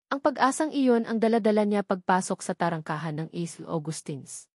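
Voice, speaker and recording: A woman's voice: medium pitch, expressive, a little fast, no echo, clean studio sound. A woman reading a scripted story as a dramatic narrator.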